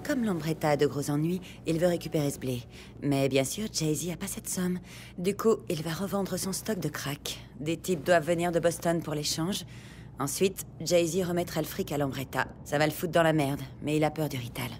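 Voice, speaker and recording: A woman's voice: deep voice, moderate pace, a little mellow, slight background noise, natural voice. A woman playing a sultry, scheming gangster's wife in a French audio crime drama, teasing and conspiratorial. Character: seductive, scheming, foul-mouthed gangster's wife. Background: music.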